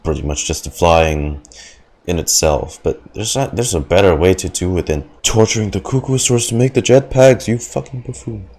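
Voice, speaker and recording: A man's voice: deep voice, moderate pace, a little mellow. A man giving casual, blunt, informal reaction commentary as a game streamer.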